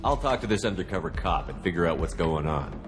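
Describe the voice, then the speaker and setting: A low-voiced military hero in a sci-fi video game. He is calm, practical and matter-of-fact. Music plays noticeably underneath. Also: brisk. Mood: skeptical, firm. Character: calm, no-nonsense male military space commander hero.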